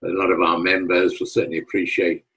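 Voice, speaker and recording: A man's voice: deep voice, flat delivery, quick pace, fairly bright tone, breathy edge, low-quality recording. A man interviewing politely, hesitating often with filler sounds. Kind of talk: casual interview.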